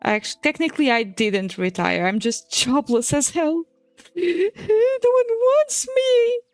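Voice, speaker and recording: A woman's voice: high-pitched, animated, slow pace, dry recording, faint music behind. A woman in her twenties, a native Portuguese speaker, chatting casually on a stream while she draws, swearing and laughing often. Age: 20s. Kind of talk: casual chat while drawing.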